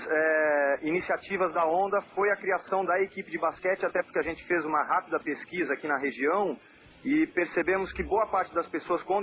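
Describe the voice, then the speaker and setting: A man from southern Brazil who coaches a national team, answering interview questions in a measured way, with frequent fillers and restarts. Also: high-pitched, a bit bright. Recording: muffled lo-fi audio with faint noise.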